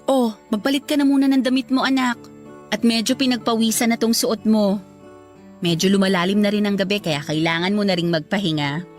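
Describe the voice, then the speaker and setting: A woman's voice: medium pitch, fairly even intonation, fairly quick, faint music behind, lightly acted. A woman with a Filipino accent playing a fed-up wife and caring mother in a radio drama. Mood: tender.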